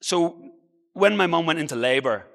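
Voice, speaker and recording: Irish male speaker, early 40s, for a conference talk: bright, forceful voice, informal and self-mocking, heard in a big echoing hall. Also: a little high, lively intonation, moderate pace, gravelly. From Ireland. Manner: informal, self-deprecating, conversational. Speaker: travel blogger and guest speaker. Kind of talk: motivational conference talk, personal storytelling. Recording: reverberant hall, long echo.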